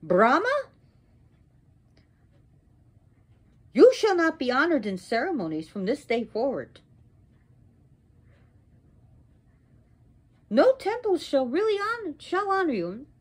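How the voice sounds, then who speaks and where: A woman's voice: a little high, animated, slow, dark tone, slightly rough, full character voice. A woman voicing a furious, imperious goddess in an oral myth telling, with an emphatic, indignant, declamatory delivery. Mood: wrathful cursing. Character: furious, imperious wronged goddess pronouncing curses. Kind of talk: oral mythological storytelling.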